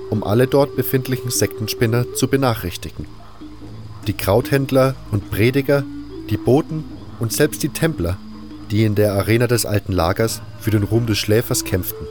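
A man's voice: medium pitch, normal speed, music in the background. A man reading scripted first-person narration for a fantasy audio drama.

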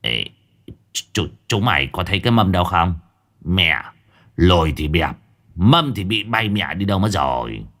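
A man's voice: low voice, expressive, moderate pace, a bit bright. A man voicing an old prankster of a host who curses and bluffs after a mishap. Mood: flustered. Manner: brash, cursing, flustered. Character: old prankster host, brash and foul-mouthed.

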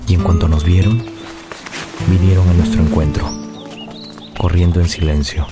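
An actor narrating a radio drama as a grown man recounting his childhood, in a measured, solemn and tender way. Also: low voice, fairly even intonation, loud music behind the voice, close to an everyday voice. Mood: grave recollection.

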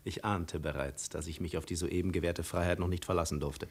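An actor playing a cool, ironic adventurer posing as a prince, measured and sardonically polite, in 1950s radio drama style. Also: male voice, sits low, steady tone, breathy edge. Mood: mocking.

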